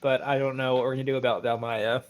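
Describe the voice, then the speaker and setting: A man's voice: mid-range voice, level tone, quick pace. A man playing a tabletop game, improvising in a casual, informal way as he describes things to the group. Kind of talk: casual chat, improvising in character.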